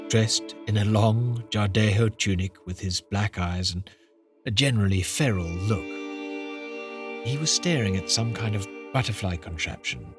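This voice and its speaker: A man playing a wistful older man who narrates his youth in measured storytelling for an audio drama. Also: fairly low pitch, close to an everyday voice. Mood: intrigued, reflective. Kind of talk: scripted first-person drama narration. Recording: music under the narration.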